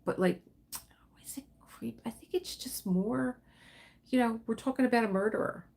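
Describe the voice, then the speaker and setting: A woman hosting a relaxed livestream, chatting in a rambling way while she crafts and answers viewers. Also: on the high side, moderate pace, gravelly. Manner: rambling, chatty, laughs often, reads and answers viewer comments. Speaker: livestream host. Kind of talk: casual livestream chat while diamond painting.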